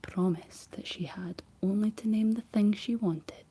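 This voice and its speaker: A woman whispering a folk tale aloud in a soft ASMR style, stopping for playful asides. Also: medium pitch, unhurried, slightly rough. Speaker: storyteller. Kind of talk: whispered ASMR story reading.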